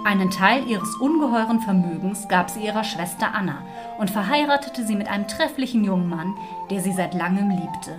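A woman's voice: mid-range voice, expressive, a little fast. A woman storyteller reading a scripted fairy tale narration. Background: music.

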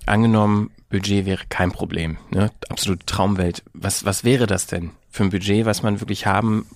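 A man's voice: lowish voice, steady tone, normal speed, gravelly, dry recording. A man in casual discussion, speaking in an informal, inquiring way.

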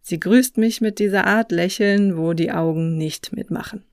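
A narrator reading a scripted personal travel story in a reflective, measured way, recorded close on a microphone. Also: medium pitch, a little mellow, clear, resonant tone, no room sound. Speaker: podcast host and narrator.

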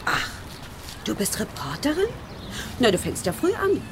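Very animated, playful woman, ambience behind. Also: on the high side, normal speed, noisy background. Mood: playful, surprised. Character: spirited, playful woman with a pet tomcat. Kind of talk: children's audio drama acting.

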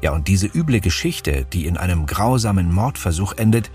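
A man co-hosting a true-crime podcast, narrating a court case in a measured, scripted way. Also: sits low, expressive, darkish tone, faint music behind. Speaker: podcast co-host.

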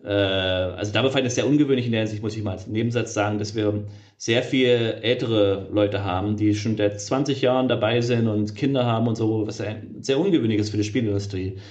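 A man's voice: fairly low pitch, steady tone, fairly quick, darkish tone, highs cut off. A middle-aged man, a game developer being interviewed, talking casually and hesitantly with many fillers and restarts.

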